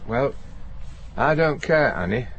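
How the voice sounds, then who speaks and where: An actor playing a lonely, anxious, gentle older man, hesitant and plain-spoken, in a naturalistic radio play. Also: medium pitch, lively intonation, takes it slowly, dull audio, a little hiss or hum. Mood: quietly resolute. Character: lonely, anxious, gentle old man, reluctant local celebrity.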